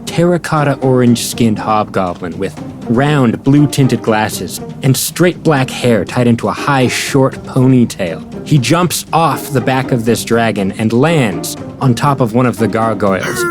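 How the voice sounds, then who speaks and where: A man tells a scripted fantasy tale as narrator. His voice is middling in pitch, with music clearly heard behind it.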